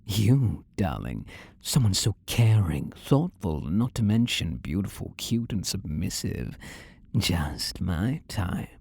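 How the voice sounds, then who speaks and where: A man performs a possessive demon lover speaking intimately to his beloved in an audio roleplay. His slow, commanding delivery swings widely in pitch and sometimes drops to a whisper. The voice is dark and mellow, with a sinister, seductive purr, recorded close and dry. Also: very animated, creaky, breathy edge, no room sound, exaggerated voice.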